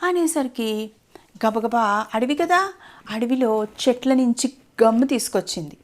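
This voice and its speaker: A woman's voice: fairly high pitch, unhurried. A woman telling a story to a child in a simple, explanatory way, often asking questions.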